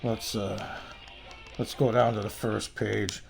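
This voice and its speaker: A man's voice: fairly low pitch, flat delivery, takes it slowly, creaky, breathy edge, soft background music. A man casually reviewing and demonstrating a product in a rambling, folksy way. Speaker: radio reviewer. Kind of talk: casual hands-on product demo.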